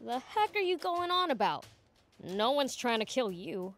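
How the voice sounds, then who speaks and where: A woman's voice: high-pitched, very animated, unhurried, fairly bright tone, heavily acted. A woman voicing a small, cocky young demon prince in a video game, brash, impatient and bossy. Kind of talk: video game cutscene acting.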